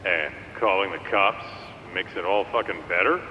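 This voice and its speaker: Man acting muffled, low, blunt tough-guy partner. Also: moderate pace, lightly acted. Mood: baffled, exasperated. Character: blunt, skeptical, foul-mouthed male street-tough partner. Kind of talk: video game cutscene dialogue. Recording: dull band-limited sound, long reverb, rumble.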